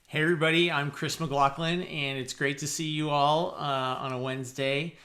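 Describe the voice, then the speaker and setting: Bright-voiced man with even intonation. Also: a little high, moderate pace. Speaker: human resources consultant pitching. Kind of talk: casual business introduction. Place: video call.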